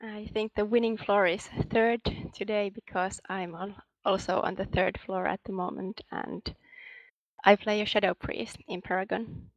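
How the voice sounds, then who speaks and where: A woman's voice: medium pitch, steady tone, moderate pace, no echo. Female guest, casual, mid voice, dry and slightly muffled line. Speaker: guest, gamer.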